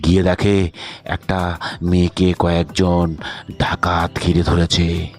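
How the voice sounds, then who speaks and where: Sex: man. Role: deep-voiced cartoon narrator. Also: completely flat delivery, takes it slowly, faint music behind. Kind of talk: scripted storytelling narration.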